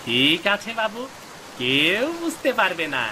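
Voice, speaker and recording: Man voicing a high, fawning cartoon henchman. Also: sing-song, measured pace. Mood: protesting, obedient. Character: fawning, scheming male henchman to a landlord. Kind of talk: cartoon story voice acting. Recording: loud rain noise behind the voice.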